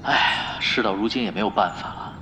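An actor playing a laid-back, sly veteran gamer in an audio drama, talking in a casual, needling, nonchalant way. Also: male voice, on the low side, brisk, sharp tone, a little hiss or hum, rough recording. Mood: nonchalant, scheming. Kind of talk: audio drama acting, conversational. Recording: music bed under dialogue.

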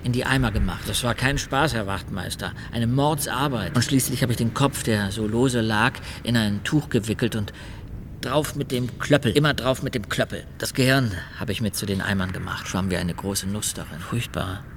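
Man acting a creaky-voiced, matter-of-fact killer confessing. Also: lowish voice, normal speed, noisy background, lightly acted. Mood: grimly matter-of-fact. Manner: matter-of-fact, grisly confession in clipped phrases. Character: male serial killer confessing matter-of-factly to police. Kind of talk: radio drama monologue.

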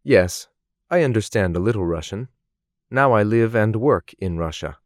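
Man reading textbook dialogues, lively intonation. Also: fairly low pitch, dry recording, studio quality. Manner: slow, clearly articulated, measured delivery. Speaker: language course voice actor.

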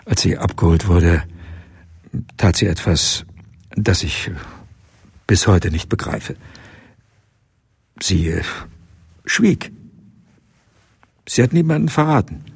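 Old guy w a deep gravelly voice, slow n haunted, halting radio drama confession. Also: sing-song, exaggerated voice. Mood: haunted, remorseful. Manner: halting, reflective. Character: haunted old man slowly recalling a wartime secret.

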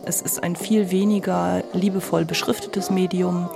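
A middle-aged woman recalls personal memories in a low voice with plenty of creak. Music plays clearly behind her. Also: little pitch movement, normal speed. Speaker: interviewee sharing memories. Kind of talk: personal reminiscence.

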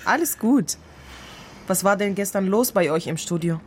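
A woman's voice: medium pitch, animated, normal speed, slight background noise, natural voice. An actress playing a worldly, teasing woman who talks in a cool, mocking, informal way in a radio drama. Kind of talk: casual radio drama dialogue.

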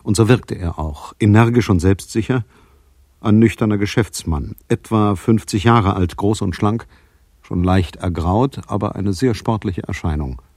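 An actor playing a businesslike private detective in a 1980s radio play, speaking formally and matter-of-factly. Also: male voice, on the low side, a little slow, darkish tone. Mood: dry, narrating. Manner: matter-of-fact, formal, probing. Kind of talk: 1980s German radio play, dialogue and narration.

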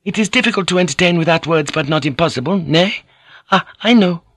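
A man's voice: fairly high pitch, expressive, brisk, fairly bright tone, no echo, clean studio sound, voice pushed up. A man voicing a young Japanese courtesan, a playful and gracious hostess with polite, coaxing questions.

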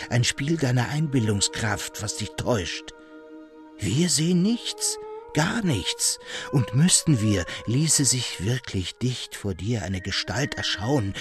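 A man's voice: mid-range voice, very animated, unhurried, music in the background, pitched above the performer's own voice. A man voicing an alarmed military colonel and father, insistent and pleading as he repeats himself in a storybook reading. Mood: urgent reassurance. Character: alarmed military colonel, father urgently calming his daughter.

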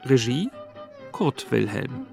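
Old time radio announcer guy, gravelly, slow, melodic, dry with faint music. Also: mid-range voice, big pitch swings, warm tone. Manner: measured, list-like delivery. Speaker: radio announcer reading the credits. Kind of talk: formal 1950s radio announcement.

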